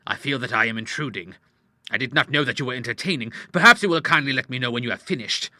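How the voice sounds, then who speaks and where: Stern Victorian father played by a male reader: formal, sarcastic, indignant, sharp and bright, swinging pitch. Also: lively intonation, fast talker, breathy edge, dry recording, heavily acted. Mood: cold, hurt. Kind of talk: dramatized audiobook dialogue.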